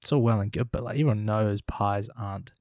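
A man with a low voice speaks in a relaxed, casual way while recounting an anecdote on a podcast. The sound is dry and close to the mic. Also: a little fast, no echo. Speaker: podcast co-host. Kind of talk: casual discussion.

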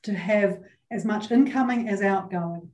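A woman's voice: mid-range voice, measured pace, darkish tone, echoey room, a little hiss or hum. A middle-aged woman with a New Zealand accent, answering interview questions in an easygoing way with frequent ums. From New Zealand and Germany. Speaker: guest, chamber of commerce chief executive.

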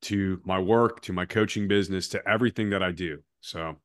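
Low-voiced man hosting an earnest interview. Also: fairly quick. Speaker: podcast host and coach. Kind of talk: conversational interview.

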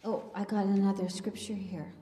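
A woman's voice: mid-range voice, little pitch movement, normal speed, dark tone. A woman preaching emphatically, leading the congregation in reading scripture aloud. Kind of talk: sermon to a congregation. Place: church sanctuary. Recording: echoey reverberant church hall.

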